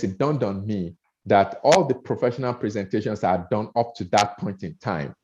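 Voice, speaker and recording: Nigerian-accented man, low voice, earnest speaker coach, muffled recording. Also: a little mellow. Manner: earnest, repeats words and restarts often, rising emphasis on key points. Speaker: speaker trainer. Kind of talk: coaching talk on public speaking. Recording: dull lo-fi audio.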